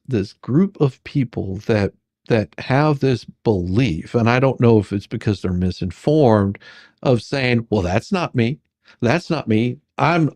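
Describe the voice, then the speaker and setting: A man with a noticeably gravelly, creaky voice at an average pitch, recorded close and dry. He talks casually and with strong opinions, circling back and restarting phrases in an exasperated, mocking way. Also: a little mellow, dry recording. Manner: halting, repeats and restarts phrases. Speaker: co-host commentator. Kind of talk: casual opinionated chat about a court case.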